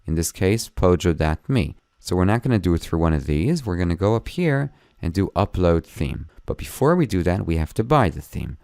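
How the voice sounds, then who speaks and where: A hoarse man walking through a website tutorial step by step in a plain, friendly way, speaking at a desktop microphone. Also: low voice, very animated, moderate pace, few pauses, darkish tone, no room sound, clean studio sound. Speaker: web design tutor. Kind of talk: screen-recorded tutorial narration.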